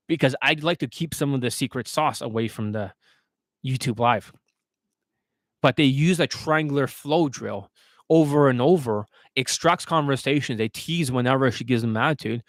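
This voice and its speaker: A man with a mid-pitched voice talks as a livestream dating coach. He is blunt and didactic, often checking that listeners follow, and the sound is dry with very little room. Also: dry recording. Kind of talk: livestream question-and-answer talk.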